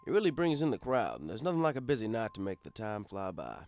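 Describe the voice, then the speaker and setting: A man's voice: fairly low pitch, very animated, fast, a little mellow, faint music behind. A man playing an easygoing, fatherly pony bartender who tells his story in a wry, conversational way. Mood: wry, settled. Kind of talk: first-person audiobook narration in character.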